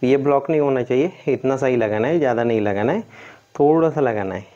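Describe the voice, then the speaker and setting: Guy doing a mechanic tutorial, soft dark mellow voice, kinda dull lo-fi audio. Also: mid-range voice, fast talker. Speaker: car mechanic presenting a tutorial. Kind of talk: hands-on instructional commentary. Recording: dull lo-fi sound.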